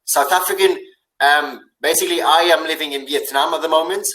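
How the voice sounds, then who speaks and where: A man's voice: a little high, a little fast, bright, forceful tone, poor audio. A man with a Northern English accent, tutoring English on a video call, friendly and casual, repeating words as he searches for them. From born in Italy, raised in England. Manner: friendly, stumbling repeats, frequent "yeah". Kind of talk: casual online tutoring conversation.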